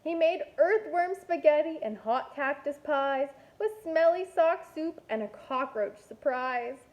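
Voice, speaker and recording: High-voiced woman reading slowly to young children. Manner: expressive, deliberate storytelling with playful asides. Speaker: kindergarten teacher. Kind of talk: animated picture-book read-aloud for young children.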